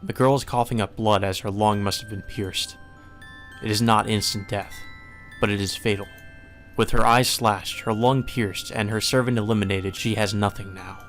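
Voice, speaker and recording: A man reading a visual novel aloud as dramatic, solemn and measured narration. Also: fairly low pitch, steady tone, fairly bright tone. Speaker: narrator, let's play reader. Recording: music behind the voice.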